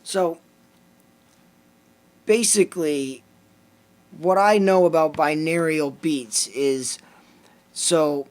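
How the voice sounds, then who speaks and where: A man's voice: a little high, extremely slow, long pauses. A man with a Lithuanian accent, co-hosting a casual podcast chat and reading passages from a book aloud. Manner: reads passages aloud in a steady list-like way. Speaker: podcast co-host.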